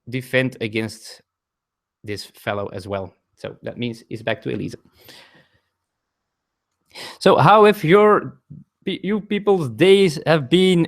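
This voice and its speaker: A man's voice: mid-range voice, a bit bright. A man streaming a board game live from home, explaining the rules step by step and chatting with viewers, often correcting himself, with a non-native English accent.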